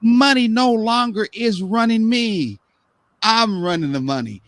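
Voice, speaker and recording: A man's voice: sits high, animated, a little slow, fairly bright tone, no room sound. A man hosting a live-stream talk show, speaking earnestly and rambling with repeated words. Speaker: host.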